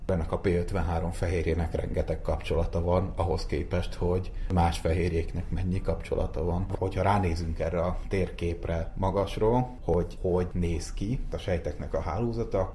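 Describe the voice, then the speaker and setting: Very deep, mellow man over background noise. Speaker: network researcher and computational biologist. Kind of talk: conversational science explanation.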